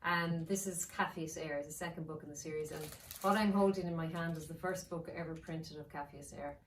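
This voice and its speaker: A woman's voice: low voice, fairly even intonation, a little fast. A woman speaking as a children's author, storytelling and reading aloud for young listeners. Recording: roomy, distant mic, faint noise.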